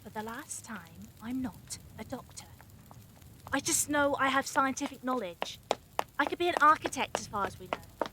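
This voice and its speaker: A woman playing a sensible, scientific-minded peacemaker among captives, reasoning crisply in an audio drama. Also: high-pitched, moderate pace, background noise, close to an everyday voice. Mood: weary, reasoning. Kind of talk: fan audio drama acting.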